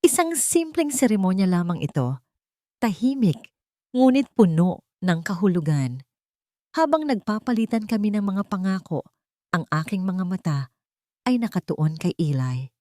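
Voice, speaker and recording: A woman's voice: medium pitch, very animated, normal speed, warm tone, no room sound, clean studio sound. A woman narrating a scripted first-person romance story in an earnest, sentimental, dramatic way.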